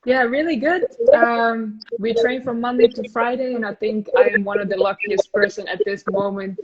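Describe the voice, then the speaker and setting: A woman's voice: fairly high pitch, fairly even intonation, moderate pace, breathy edge. A Dutch woman with an American-tinged English accent, chatting as a guest, cheerful and earnest with easy giggles. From Netherlands. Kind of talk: casual chat. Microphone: phone. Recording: muffled phone audio.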